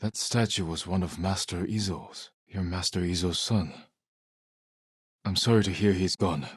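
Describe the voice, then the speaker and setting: A man playing a solemn, resolute samurai warrior in a video game, speaking in a husky, measured, grave way with short phrases. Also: low-pitched, no room sound, clean studio sound. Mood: somber, gentle. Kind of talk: dramatic video game character dialogue.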